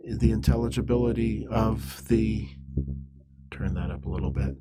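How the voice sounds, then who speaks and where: A man presenting an instructional software demo in a halting, methodical, step-by-step way. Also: fairly low pitch, steady tone.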